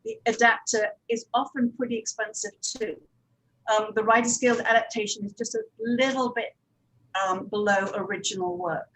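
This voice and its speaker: Woman explaining, fairly high voice. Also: fairly even intonation, normal speed, fairly bright tone, poor audio. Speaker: audio drama producer and director, panelist. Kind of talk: explanatory panel talk on production practice.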